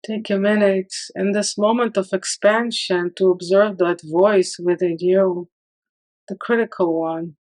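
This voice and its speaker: A woman leading a guided meditation in a calm, soothing manner, leaving long pauses between short phrases. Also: mid-range voice.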